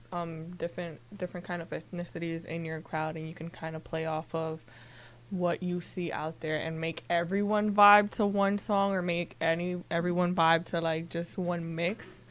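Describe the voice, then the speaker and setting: A woman on a radio panel, speaking in an animated way and drawing out her phrases. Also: fairly low pitch, a little hiss or hum. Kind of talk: casual interview chat.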